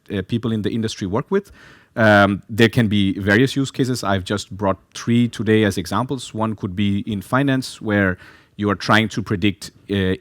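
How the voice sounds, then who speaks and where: A man's voice: fairly low pitch, dry recording. A man from Bangladesh in his thirties, a data science team lead, giving a talk and explaining steadily with frequent "uh" fillers. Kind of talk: presentation talk.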